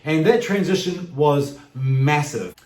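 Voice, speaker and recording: A man's voice: fairly high pitch, takes it slowly, darkish tone. A man in his forties with a New Zealand expat accent, talking emphatically and directly to his viewers as a vlog host. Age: 40s. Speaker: YouTube channel host. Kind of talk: direct-to-camera vlog talk. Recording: echoey, roomy sound.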